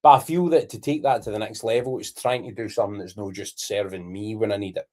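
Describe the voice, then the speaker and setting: A man's voice: fairly low pitch, fairly even intonation, fast talker, darkish tone. A Scottish man co-hosting a podcast, rambling candidly in casual chat, swearing freely and dropping Scots words. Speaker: podcast co-host.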